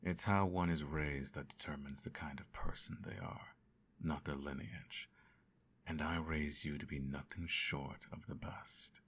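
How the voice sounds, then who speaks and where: Man playing a very deep, creaky evil emperor. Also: heavily acted. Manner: formal, measured, condescending, quietly menacing. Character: cold, controlling evil emperor, adoptive father at bedtime. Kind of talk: scripted ASMR roleplay.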